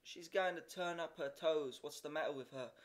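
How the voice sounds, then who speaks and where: A man voicing a tall, thin, stingy peasant who hesitates and pleads, in a voice raised well above his usual pitch. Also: moderate pace, lightly acted. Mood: hesitant.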